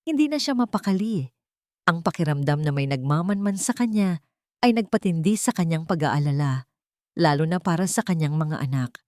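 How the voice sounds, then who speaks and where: A woman narrates a scripted drama in a lowish, mellow voice. The delivery is that of a storyteller, and the sound is dry and close, with no room on it. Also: animated, moderate pace, no echo.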